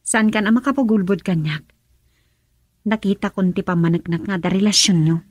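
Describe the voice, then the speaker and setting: A woman's voice: medium pitch, normal speed, a little mellow, slightly rough, no echo, close to an everyday voice. An actress playing a frank, wounded young woman in an Ilocano radio drama, speaking with an Ilocano accent. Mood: confronting.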